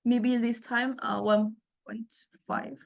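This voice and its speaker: A woman lecturer giving an informal software demonstration, thinking aloud in a halting way as she goes. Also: fairly high pitch, flat delivery, creaky. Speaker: music lecturer.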